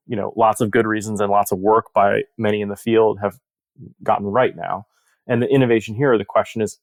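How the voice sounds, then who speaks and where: A man's voice: lowish voice, dry recording. A man giving a conversational, reflective expert explanation in an interview. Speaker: surgeon-researcher interviewed as study author.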